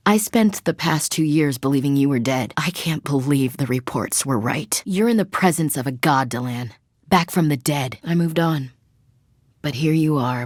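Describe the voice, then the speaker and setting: A woman's voice: low voice, dry recording, clean studio sound. An actress playing a tough, blunt young female marine in a video game, speaking in a direct, clipped soldierly manner. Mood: bitter. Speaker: game character.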